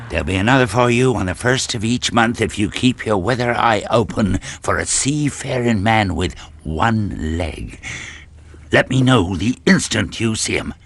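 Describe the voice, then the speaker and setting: An actor playing a gruff, domineering, rum-drinking old seaman with a blunt, commanding manner in a radio drama. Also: fairly low pitch, a bit bright, dry recording, exaggerated voice. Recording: light background noise and ambience.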